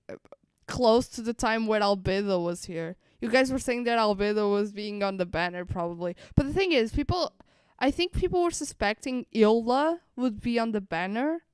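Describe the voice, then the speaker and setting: A woman's voice: fairly high pitch, clear, resonant tone, no room sound, clean studio sound. A woman streamer giving casual live reaction commentary, rambling and repeating phrases as she thinks aloud. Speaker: streamer reacting to a game livestream.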